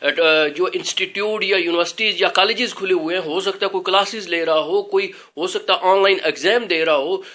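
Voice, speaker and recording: A man giving an informal religious talk as an educator, explaining things in an earnest, measured way. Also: high voice, bright, forceful tone.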